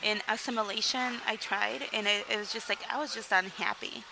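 Young woman from Mexico, interview guest, open and rambling, steady mid-range pitch, noisy recording. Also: brisk, gravelly. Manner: candid, rambling, frequent "like" and "um", laughs between sentences. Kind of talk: casual interview storytelling.

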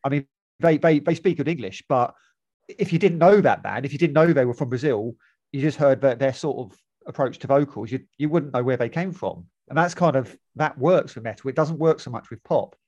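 Measured male co-host, dry sound. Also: medium pitch, a little mellow, no room sound. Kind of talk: casual chat.

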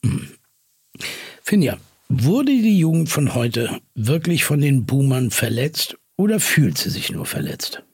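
A man with a markedly husky, creaky voice interviews guests as a podcast host. His questions are probing but conversational, and the sound is dry, without room echo. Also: a little high, sing-song, slow pace.

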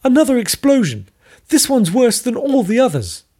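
A man's voice: very animated, moderate pace, dark tone, breathy edge, exaggerated voice. A man doing a prim, stern lab woman. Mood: stern, excited. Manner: high, prim and emphatic. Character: stern, excitable woman running a secret lab.

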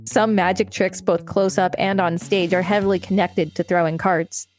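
A woman's voice: on the low side, fairly even intonation, normal speed, soft background music. A woman co-hosting a podcast, reading an encyclopedia article aloud and chatting casually. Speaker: podcast co-host.

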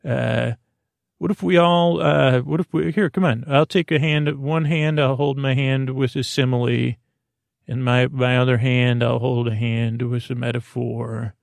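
A man with a creaky voice hosting a sleep podcast, giving a halting, meandering, absurd monologue that keeps trailing off and restarting. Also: medium pitch, fairly even intonation, dry recording. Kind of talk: rambling, absurd bedtime monologue.

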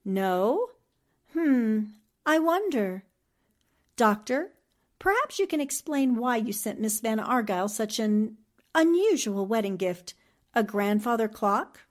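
An actress playing a shrewd, confident newspaperwoman sleuth in a 1940s-style radio mystery, deliberate and probing. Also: on the high side, sing-song. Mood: probing, suspicious.

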